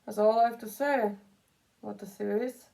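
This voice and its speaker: A woman with a soft-edged, dark voice talks casually and off the cuff. She rambles through her opinions as a commentary creator, circling back to repeat phrases for emphasis. Her pitch sits in an ordinary middle range. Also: steady tone, normal speed, creaky, low-quality recording.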